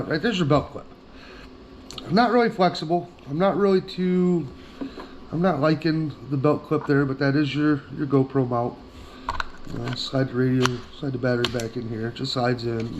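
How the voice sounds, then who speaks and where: Plain-spoken man, noisy background. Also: mid-range voice. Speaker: product reviewer. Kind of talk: casual hands-on product review.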